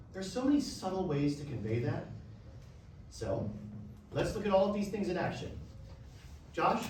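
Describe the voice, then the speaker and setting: A man's voice: high voice, a little mellow. A man playing a stern, demanding music professor, lecturing curtly in a staged reading of a musical. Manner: lecturing, curt, exacting. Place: echoey room, staged reading. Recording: very echoey, distant, noisy room.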